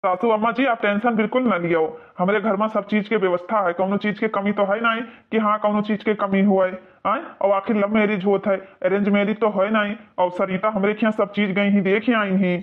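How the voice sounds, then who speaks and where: A high cartoon voice of an indignant young groom, as a man puts him on. He argues in an Awadhi accent, pushy and defensive. The audio is dull and lo-fi. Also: flat delivery, fairly quick, hardly any pauses, natural voice. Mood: reassuring. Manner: indignant, argumentative. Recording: muffled lo-fi sound.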